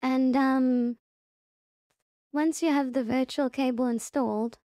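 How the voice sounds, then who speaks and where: A woman presenting a casual, step-by-step software tutorial in a plain-spoken, instructional way. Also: high-pitched, fairly even intonation, slow pace, no echo.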